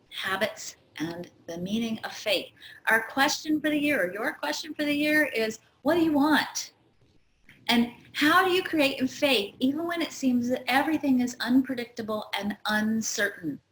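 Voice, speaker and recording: Middle-aged woman host, high voice, earnest teacherly delivery, roomy echo. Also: a bit bright, slight background noise. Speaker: show host. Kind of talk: spiritual astrology talk.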